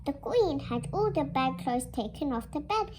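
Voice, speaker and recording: High-pitched child reading a fairy tale. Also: lively intonation, moderate pace, darkish tone, slight background noise. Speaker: storybook reader. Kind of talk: read-aloud fairy tale narration.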